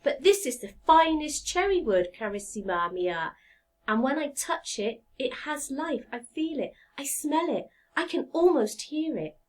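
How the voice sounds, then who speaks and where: A woman's voice: high voice, very animated, a little slow, pitched above the performer's own voice. A woman voicing a kindly, nervous old woodcarver who speaks eagerly and fondly in a raised voice. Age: older.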